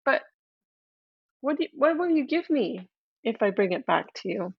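A lonely little male frog from a storybook who speaks softly and pleads for friendship, as a woman puts him on. His voice sits high and a little breathy, coaxing and sweet. The sound is dull and lo-fi, with no room around it. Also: big pitch swings, moderate pace, no echo, full character voice. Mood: pleading. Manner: gentle, coaxing.

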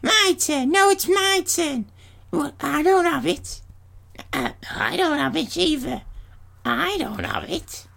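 A woman voicing ancient grey hag sisters in a storybook reading, shrieking and squabbling in a high, thin voice. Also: very animated, slow, gravelly, fairly bright tone, exaggerated voice. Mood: frantic. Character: shrill ancient crone sisters, squabbling and whining.

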